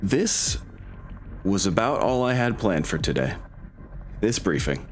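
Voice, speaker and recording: A man acting as game master, chatting and narrating casually, haltingly thinking aloud as he goes. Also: lowish voice, big pitch swings, a little hiss or hum. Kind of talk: casual tabletop roleplay narration and chat.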